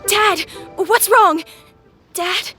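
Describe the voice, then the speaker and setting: A woman's voice: extremely high pitch, drawn-out words, pushed and bright, exaggerated voice. A woman voicing a worried, fearful little child in a video game, urgent and pleading. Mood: frightened. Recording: background music.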